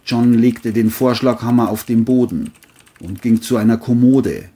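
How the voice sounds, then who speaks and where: Husky, mellow male crime narrator. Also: medium pitch, unhurried. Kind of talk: scripted crime story narration.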